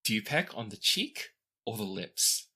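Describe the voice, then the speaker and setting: A woman game master with a very deep voice narrates an improvised tabletop story. Her delivery is animated and bright. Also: measured pace, breathy edge.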